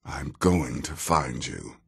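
A seasoned video game assassin with a low-pitched voice. He takes his time over each phrase, dry and sardonic, yet his tone rises and falls freely. There is wry menace in how he speaks. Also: big pitch swings, takes it slowly, creaky, fairly bright tone, husky, heavily acted. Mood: threatening. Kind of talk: video game character dialogue.

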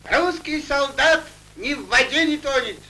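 An actor playing a brave, hearty retired Russian soldier in a 1940s cartoon, with a plucky folksy delivery. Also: male voice, extremely high pitch, lively intonation, unhurried, pushed and bright, exaggerated voice. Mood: bold, proud. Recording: old 1940s film soundtrack with music.

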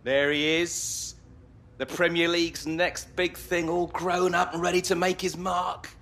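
A cocky sports agent in a game, husky and highish, teasing, middle aged. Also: male voice, flat delivery, a little slow, a bit bright. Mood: boastful. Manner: brash, teasing.

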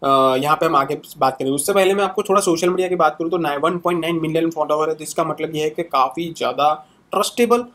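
A young man with a fairly high voice talks loosely through an analysis in an indoor room. He sits at a webcam and keeps tagging his sentences with quick fillers as he walks through charts. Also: a bit bright. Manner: conversational, fillers and tags after many sentences. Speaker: crypto channel host. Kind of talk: casual screen-share market analysis.

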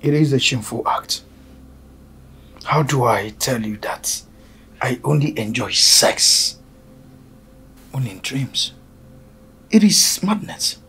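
A man's voice: medium pitch, animated, slow pace, pauses often, sharp tone, full character voice. An actor with a Nigerian accent playing a troubled young man who talks in a subdued, serious way. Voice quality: husky. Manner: subdued, serious, half-whispered.

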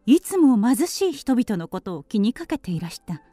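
A ladylike little songbird narrates in an audio drama. The voice is high and lively in pitch, with polite, genteel phrasing. Music plays behind it. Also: moderate pace, no echo. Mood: earnest recounting. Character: refined, ladylike female canary telling her life. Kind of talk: Japanese radio drama narration.

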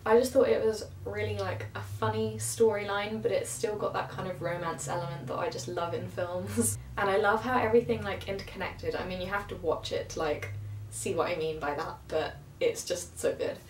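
A woman's voice: medium pitch, fairly even intonation, moderate pace, a little hiss or hum. A young woman with a cold and a slightly nasal voice, chatting casually to camera. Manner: chatty, rambling with many fillers. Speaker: cover singer and vlogger. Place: small bedroom at a keyboard. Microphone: camera across the room. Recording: echoey room, voice from a distance.

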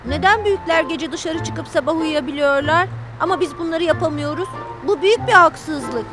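A little cartoon boy bus, eager and naive. The voice is very high, childlike and expressive, made for a children's show. Music plays behind it. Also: normal speed, poor audio. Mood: pleading, whiny. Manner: childlike, expressive, whiny when pleading. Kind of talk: animated children's cartoon dub.

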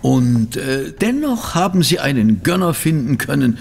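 An actor playing a wry, inquisitive gentleman investigator in a German audio drama, deliberate and mocking. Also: mid-range voice, very animated, creaky, long reverb tail, slight background noise.

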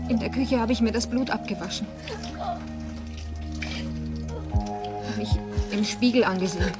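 An actress playing a fragile, bitter young woman in a crime radio play, confessing in a halting, emotional way. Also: fairly high pitch, close to an everyday voice. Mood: pained, subdued. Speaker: actor. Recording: music under the voice.

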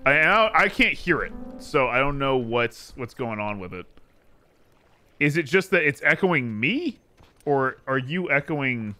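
A young man talks over a game on a livestream, his voice bright and swinging up and down as he gets worked up. He curses at characters and rattles through tech troubleshooting, with faint game music in the background. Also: medium pitch, unhurried. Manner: excitable, swears at game characters. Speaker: streamer. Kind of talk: casual livestream chat over gameplay. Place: home streaming room. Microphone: studio mic through a mixer.

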